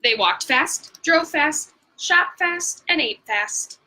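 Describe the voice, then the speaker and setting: Bright, unhurried woman reading a bedtime story. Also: sits high, expressive, rough recording. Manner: draws out words for emphasis. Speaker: picture-book reader. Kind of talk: bedtime story read-aloud with asides to viewers.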